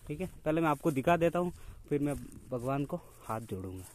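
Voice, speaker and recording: A man's voice: fairly high pitch, sing-song, a little fast. A man explains a roadside shrine to the camera in a friendly, step-by-step way, often checking in with his listener. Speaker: guide showing a shrine.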